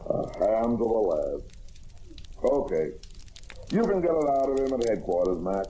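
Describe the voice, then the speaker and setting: Wry radio detective, mellow and animated. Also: male voice, fairly low pitch, takes it slowly, vocal fry, long pauses, exaggerated voice. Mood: confident, wry. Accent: American. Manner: wry, confident, hard-boiled delivery. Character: shrewd, wisecracking male detective explaining the crime. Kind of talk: old-time radio crime drama acting. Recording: noisy vintage radio recording.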